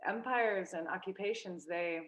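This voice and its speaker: A woman's voice: mid-range voice, moderate pace. A young woman, a guest in a casual interview, talking hesitantly with many fillers and restarts. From United States.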